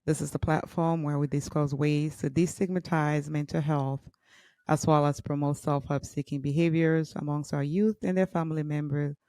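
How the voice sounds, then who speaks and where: A woman's voice: low-pitched, level tone, moderate pace, warm tone, dry recording. A woman hosting a podcast, asking upbeat, encouraging questions. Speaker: podcast host. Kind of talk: interview hosting.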